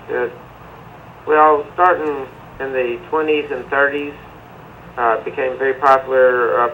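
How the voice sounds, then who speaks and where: A man from Middle Tennessee answers interview questions in an easy, unhurried way, often pausing on "uh". His voice has a gravelly edge, and a faint hiss sits under it. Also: medium pitch, low-quality recording. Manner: relaxed, many "uh" fillers. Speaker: musician guest. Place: remote link to a TV studio.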